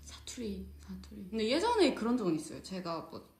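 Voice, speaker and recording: Brief: young female co-host from South Chungcheong, giggly storyteller, pitch all over the place, echoey room. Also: very animated, a little fast, darkish tone. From South Chungcheong, Korea. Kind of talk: casual chat. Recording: roomy echo.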